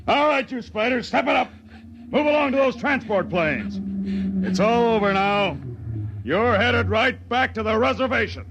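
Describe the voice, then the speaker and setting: An actor playing a brusque, contemptuous military officer in a 1950s radio drama, with a commanding delivery. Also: male voice, extremely high pitch, lively intonation, moderate pace, lo-fi sound. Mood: barking, commanding. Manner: barking, commanding. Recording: noisy vintage radio recording.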